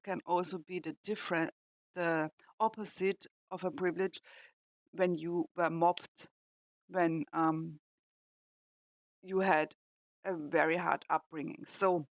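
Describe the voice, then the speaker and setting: A woman from Austria with an Austrian German accent and German as her first language, talking haltingly as a reaction host and pausing to search for words. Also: fairly low pitch, steady tone, dry recording. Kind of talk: casual reflective commentary.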